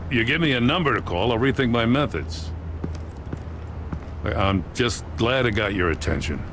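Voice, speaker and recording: Brief: actor doing earnest tired commissioner, middle-aged, animated delivery. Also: male voice, medium pitch, normal speed, lightly acted. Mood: weary. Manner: weary, earnest. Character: weary middle-aged male police commissioner. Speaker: police commissioner. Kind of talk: video game voice acting. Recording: game audio with background noise.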